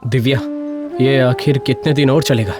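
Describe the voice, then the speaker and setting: Affectionate young village husband, medium pitch acted by a man. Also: steady tone, moderate pace, music in the background, natural voice. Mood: worried, tender. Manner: tender, earnest. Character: affectionate, easygoing poor young husband who runs a cycle shop. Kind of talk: animated moral story dialogue.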